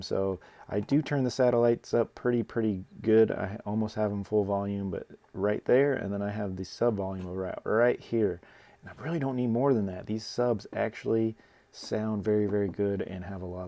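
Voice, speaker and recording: A man with a lowish, fry-heavy voice presents equipment in a casual review style. He explains step by step in plain, informal phrasing, often repeating himself. The sound is dry and close, with little room in it. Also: warm tone, no room sound. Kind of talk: casual product demonstration.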